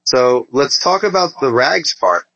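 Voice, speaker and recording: A man's voice: mid-range voice, lively intonation, measured pace, pushed and bright, highs cut off. A man hosting a podcast, talking in a conversational interview style. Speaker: podcast host.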